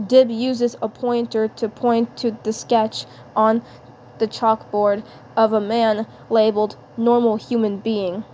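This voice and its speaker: A woman narrating fan fiction aloud as an amateur, haltingly, often repeating words and phrases. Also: fairly high pitch, flat delivery, warm tone, a little hiss or hum.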